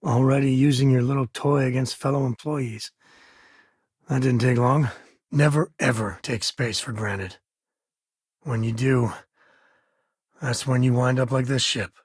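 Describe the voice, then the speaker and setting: An actor playing a rugged space-ranger father in a video game, with a husky, raspy voice and a wry, earnest, conversational manner. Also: medium pitch, fairly even intonation, measured pace, pauses often, no room sound, clean studio sound. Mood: wry, steady. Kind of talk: video game character voice lines.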